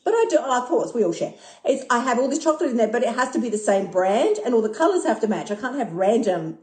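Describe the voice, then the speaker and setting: A woman in her fifties who talks excitably, swears a lot and laughs often during a casual live stream. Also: fairly high pitch, quick pace. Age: 50s. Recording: echoey room.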